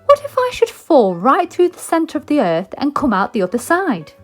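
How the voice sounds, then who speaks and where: Woman as a curious, high-voiced British little girl. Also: wildly swooping pitch, normal speed, soft background music. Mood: wondering. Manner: wondering, exclaiming to herself. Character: curious, polite young girl in wonderland.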